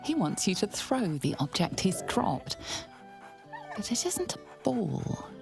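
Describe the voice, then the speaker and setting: A woman narrating a fantasy game as a storyteller, hushed and breathy, with measured, deliberate delivery. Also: medium pitch, very animated, music in the background, exaggerated voice. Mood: wry, gentle. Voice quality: breathy, hushed, often near a whisper. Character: female storyteller narrating a dark fantasy adventure. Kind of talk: scripted second-person fantasy game narration.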